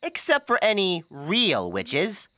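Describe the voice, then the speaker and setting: Forceful, swooping fanatic gunman, acted by a man. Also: sits high, huge pitch swings, slow, exaggerated voice. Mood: aggressive. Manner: forceful, threatening. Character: hot-headed fanatical witch-hunting gunman. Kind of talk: audio drama acting.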